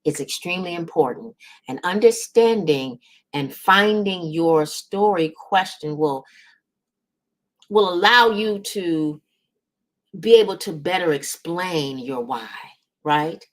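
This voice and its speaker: A woman with a low-pitched voice delivers a motivational coaching talk. She is emphatic and encouraging, and she often pauses to check that the listener is following along. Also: lively intonation. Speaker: business coach and series host.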